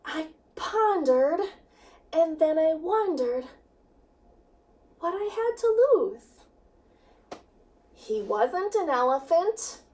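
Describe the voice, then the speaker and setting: A woman reading a rhyming children's story aloud, expressively and with dramatic pauses. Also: very high voice. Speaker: children's director reading a picture book. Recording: distant microphone.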